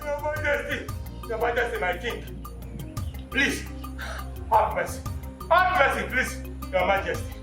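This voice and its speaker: A man's voice: extremely high pitch, expressive, unhurried, long pauses. An actor playing a desperate man begging a king for mercy, with frantic, pleading speech, in an echoey room with faint music.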